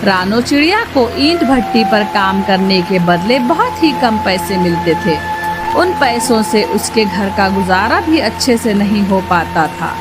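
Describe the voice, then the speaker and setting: A woman's voice: medium pitch, big pitch swings, a little slow. A woman narrating a scripted children's story as the storyteller of a cartoon. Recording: loud music behind the voice.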